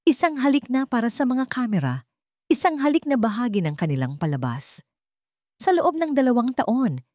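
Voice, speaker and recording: A woman's voice: fairly low pitch, mellow, no room sound, clean studio sound. A woman with a Filipino accent narrating a scripted romantic drama story in a dramatic storytelling style.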